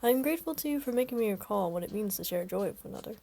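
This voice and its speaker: A woman's voice: medium pitch, expressive, rapid-fire, vocal fry, dark tone, soft background music. A woman reading the part of a formal, polite young man who brings good fortune. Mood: earnest. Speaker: voice reader of a game story.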